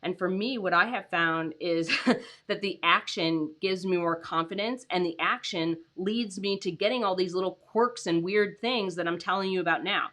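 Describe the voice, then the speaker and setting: Tired, raspy-voiced woman host. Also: lowish voice, moderate pace, a bit bright. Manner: chatty, lots of "like" and "um", laughs often. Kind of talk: casual livestream chat.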